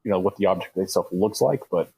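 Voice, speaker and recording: A man's voice: low-pitched, fast, a little mellow, breathy edge, rough recording. A man playing a tabletop roleplaying game, casually improvising and describing what his character does.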